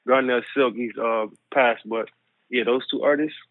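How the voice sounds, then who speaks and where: A man from a Guyanese family, a music producer who is a guest, answering quickly in casual chat. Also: medium pitch, fairly even intonation, no echo. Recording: tinny phone-like remote call.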